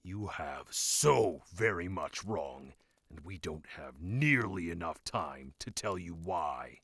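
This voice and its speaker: An actor playing a brash, unflappable warrior cleric in a fantasy audio drama, speaking in a low-key, self-assured way. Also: male voice, expressive, takes it slowly, fairly bright tone, slightly rough, no room sound, clean studio sound, exaggerated voice. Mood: calm confidence.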